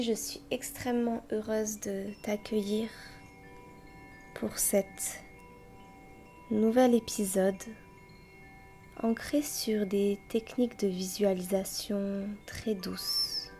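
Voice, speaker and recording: A woman guiding a yoga nidra meditation in a soft, breathy, near-whispering voice, gently and unhurriedly, with long pauses between short phrases. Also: medium pitch. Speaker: guided meditation teacher and host. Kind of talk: guided yoga nidra meditation, slow and soothing. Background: soft music with chimes.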